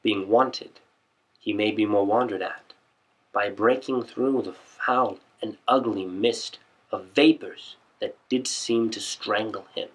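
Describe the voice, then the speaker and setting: A man performing a classical soliloquy as a calculating young prince, measured, confident and declamatory. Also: lowish voice, poor audio. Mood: proud, scheming.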